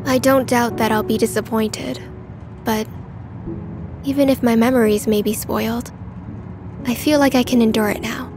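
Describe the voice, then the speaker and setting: Woman playing a reserved, higher-voiced young woman, music behind. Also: steady tone, lightly acted. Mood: earnest, reserved. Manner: polite, measured, formal phrasing. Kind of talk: amateur manga dub acting.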